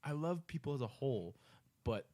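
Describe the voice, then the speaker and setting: A man's voice: mid-range voice, lively intonation, fairly quick, mellow. A man co-hosting a podcast, talking casually about movies in an emphatic, sweary way and often repeating himself. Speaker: podcast co-host.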